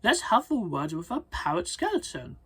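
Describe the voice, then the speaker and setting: A reader putting on an eager, husky little skeleton. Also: medium pitch, wildly swooping pitch, normal speed, fairly bright tone, no echo, exaggerated voice. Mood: mischievous. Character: little skeleton, eager mischievous storybook sidekick.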